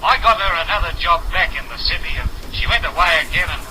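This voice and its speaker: A man plays a flustered young Australian country fellow in an old radio soap. His voice is high and swings up and down as he stammers and hedges, on a noisy vintage recording. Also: expressive, quick pace, close to an everyday voice. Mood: flustered, evasive. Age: young adult. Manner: hesitant, stammering, nervous chuckles. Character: flustered, evasive young country sweetheart, good-natured. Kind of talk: 1930s radio serial acting.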